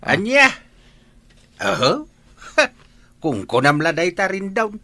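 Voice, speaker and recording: Cranky old dad, Ilocano accent, extremely animated high voice, exclamatory radio-drama scolding. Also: male voice, slow pace, no echo, full character voice. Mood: scolding, mocking. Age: older.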